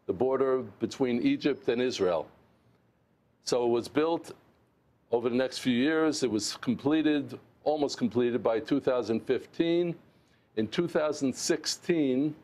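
A man's voice: mid-range voice, a little mellow. An older man in his sixties, originally from the United States, answers a news host's questions from a remote studio. He talks earnestly, often stumbling and restarting phrases. His lapel mic gives a close, clean sound. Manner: earnest, halting with many restarts. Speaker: interview guest, former mayor. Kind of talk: remote television interview.

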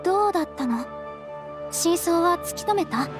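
An actress playing a refined, caring young noble lady in a game, with polite, softly feminine phrasing. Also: extremely high pitch, lively intonation, moderate pace, over music. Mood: welcoming. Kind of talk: Japanese game voice acting.

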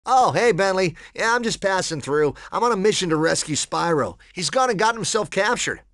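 A man's voice: high-pitched, lively intonation, a little fast, fairly bright tone, no echo, clean studio sound. A man voicing a cocky, laid-back young cheetah sidekick in a video game, breezy, slangy and friendly. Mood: casual, friendly. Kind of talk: animated video game voice acting.

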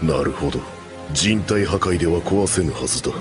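A man's voice: low voice, animated, very fast. A man playing a stern, coldly confident and contemptuous fighter in a Japanese anime. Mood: cold disdain. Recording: dramatic music behind the voice.

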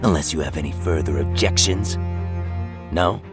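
An actor playing a gruff, cocky, defiant military agent in an audio drama, curt and sardonic. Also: male voice, a bass voice, normal speed, music in the background. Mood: defiant, menacing.

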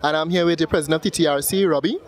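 A man interviewing guests at an outdoor event, asking quick upbeat questions. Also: on the high side. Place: outdoor rally paddock. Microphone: handheld mic. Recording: outdoor event with crowd noise.

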